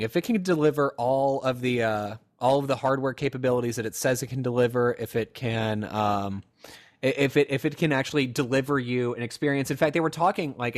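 A man co-hosts a laid-back gaming podcast. He rambles and speculates eagerly, stacking fillers and restarts as he thinks aloud, in a voice of ordinary pitch. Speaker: podcast co-host. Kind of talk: casual gaming chat.

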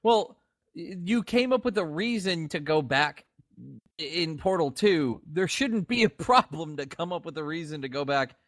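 Man, animated intonation, close dry sound, wry, blunt, casual podcast co-host. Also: fairly high pitch, normal speed, no room sound. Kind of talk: casual gaming podcast chat.

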